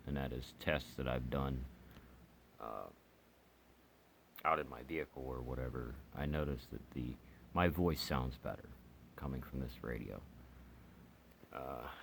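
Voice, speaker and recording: A man reviewing gear as a hobbyist in a casual, unscripted, halting and rambling way, with pauses as he explains. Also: extremely low pitch, creaky, fairly bright tone, slight background noise.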